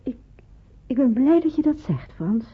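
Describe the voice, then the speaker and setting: An actress playing a lively, caring young woman in wartime hiding, in radio drama dialogue. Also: sits high, moderate pace, husky, heavily acted. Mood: earnest, hesitant. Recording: narrow, tinny old radio recording.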